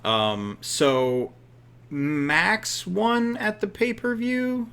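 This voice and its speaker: A man's voice: high-pitched, extremely slow, fairly bright tone, clean tone. A man co-hosting a casual chat while playing a wrestling booking game, easygoing and thinking aloud.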